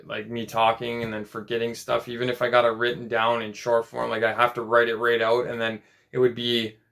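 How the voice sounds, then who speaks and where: A man in his late twenties talking in a casual personal vlog, rambling and halting, with many restarts and fillers. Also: mid-range voice, monotone, vocal fry, fairly bright tone. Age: 20s. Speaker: vlogger.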